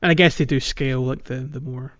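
A man's voice: mid-range voice, fairly even intonation, quick pace, a bit bright, dry recording. A man co-hosting a gaming podcast in casual conversation, recorded on a slightly muffled microphone. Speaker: podcast co-host.

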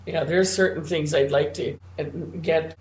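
A woman chatting casually as a caller, rambling in a halting way with repeats and restarts. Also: low-pitched, steady tone, a little mellow. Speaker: caller to a computer club meeting. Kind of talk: casual chat. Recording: roomy echo, faint background noise.

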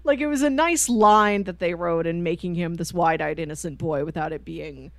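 A woman co-hosting a book podcast in casual conversation, telling stories in an animated, informal way. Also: on the low side, moderate pace, no room sound. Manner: animated storyteller, acting out quoted lines informally. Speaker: podcast co-host reviewing books.

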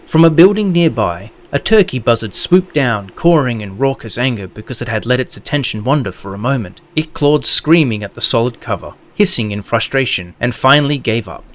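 A man's voice: mid-range voice, expressive, fairly quick, few pauses. A man reading scripted narration as the narrator of an audio production. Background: background music.

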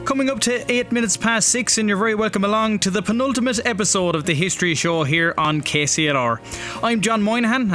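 A man's voice: high voice, fairly bright tone. An Irish man presenting a radio show as host, reading from a prepared script.

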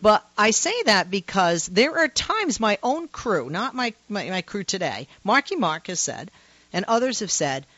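A woman with a low voice for a woman, hosting a call-in talk radio show in a passionate, emphatic, conversational way. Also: very animated, unhurried, a bit bright, no echo. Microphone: studio microphone. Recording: muffled radio broadcast, dry.

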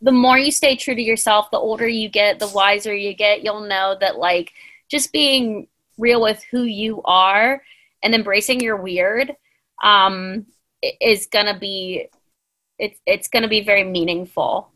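Woman in her twenties, bright voice, earnest, rambling, lots of ums, podcast co-host. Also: medium pitch, unhurried, poor audio. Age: 20s. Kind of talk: casual advice chat.

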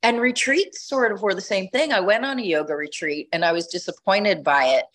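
A woman's voice: medium pitch, fairly quick, fairly bright tone. A middle-aged woman guest telling her story in a reflective, conversational way, often restarting phrases as she thinks. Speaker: podcast guest, retreat leader.

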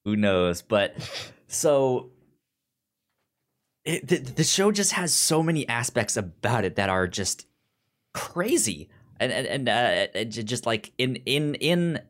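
Halting, informal man chatting as co-host. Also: mid-range voice, sing-song. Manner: halting, repeats words, informal. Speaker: podcast co-host. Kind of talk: casual TV review chat.

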